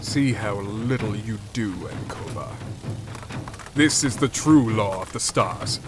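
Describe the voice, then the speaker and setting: A man playing a cold, arrogant enemy warship commander in an audio drama, speaking with deliberate, haughty menace. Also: on the low side, lively intonation. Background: music score under the voice.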